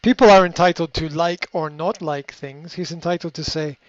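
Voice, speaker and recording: Guy coaching off the cuff, lots of ums and restarts, kinda high voice, dry muffled recording. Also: normal speed, no echo. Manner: reflective, many fillers and restarts. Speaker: coach answering a question. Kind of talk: unscripted advice talk.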